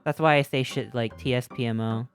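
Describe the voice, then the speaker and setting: A game streamer in their thirties with a mid-pitched voice, talking over music. The delivery is loose and casual, full of slang and swearing. They riff on themselves in a self-deprecating way and get animated while doing it. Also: fairly quick. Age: 30s. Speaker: video game livestreamer. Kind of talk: casual livestream chat over gameplay, reading and answering viewer comments.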